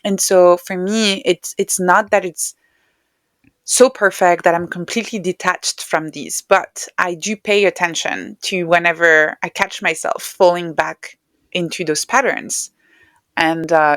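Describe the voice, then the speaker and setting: A woman who is not a native English speaker talks as a podcast guest, in a reflective, conversational way. Her voice sits a little low for a woman. The sound is very dry, with no room around it. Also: steady tone, measured pace, a bit bright, no echo. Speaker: podcast guest, writer and neuroscientist.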